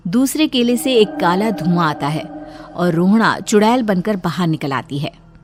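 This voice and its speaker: A woman's voice: on the low side, lively intonation, a little slow, a crowd in the background. A woman narrating a scripted children's story as storyteller.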